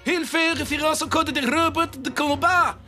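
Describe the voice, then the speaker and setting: A man's voice: extremely high pitch, steady tone, moderate pace, bright, forceful tone. A man voicing an arrogant, deranged boy genius in a cartoon, making pompous, menacing declarations. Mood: boastful. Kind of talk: French-dubbed children's cartoon acting. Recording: music playing behind the voice.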